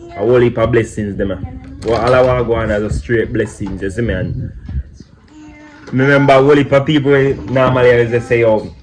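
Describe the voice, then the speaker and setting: A man's voice: medium pitch, brisk, over music. A young man with a Jamaican accent chatting casually in patois for a family vlog, often saying "you see me". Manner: laid-back patois talk with repeated "you see me". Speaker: vlogger. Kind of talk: casual chat.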